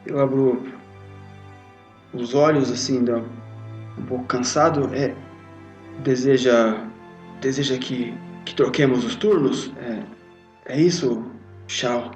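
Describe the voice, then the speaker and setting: A man's voice: medium pitch, steady tone, a little mellow. A Brazilian man improvising as a roleplay player, hesitant as he describes his character's actions. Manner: hesitant, halting. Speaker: tabletop RPG player. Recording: muffled lo-fi audio under music. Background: background music.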